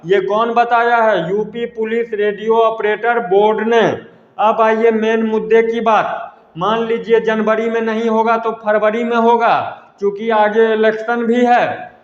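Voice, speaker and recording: A man's voice: sits high, flat delivery, measured pace. A man gives an informal exam update to students, repeating himself emphatically and addressing them as friends. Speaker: exam news presenter. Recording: echoey hall-like reverb, dull lo-fi sound.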